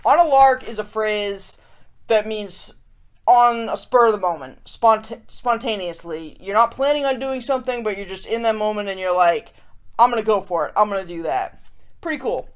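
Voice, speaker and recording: Lady w a highish voice doing kids story time, expressive, talks right to the kids. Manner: expressive, addresses young listeners directly. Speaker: host of a children's story time show. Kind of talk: read-aloud picture book storytelling and chatty review for kids.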